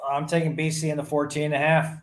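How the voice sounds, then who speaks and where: A man with a fairly high voice trades casual sports banter as a podcast co-host. He is blunt and wry, speaking freely among friends. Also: flat delivery, fast, slight background noise.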